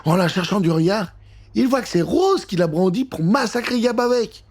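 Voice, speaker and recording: A man narrating a scripted children's adventure story with an expressive, dramatic delivery. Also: high voice, moderate pace. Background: music.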